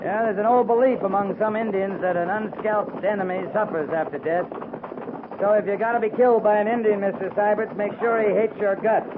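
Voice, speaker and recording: Old radio western captain, played by a man: seasoned, laconic, commanding, slightly high voice, tinny noisy recording. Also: steady tone, fairly quick, natural voice. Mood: dry, matter-of-fact. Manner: laconic, clipped, authoritative. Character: seasoned, dry-witted cavalry captain in command. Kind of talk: 1950s radio drama acting.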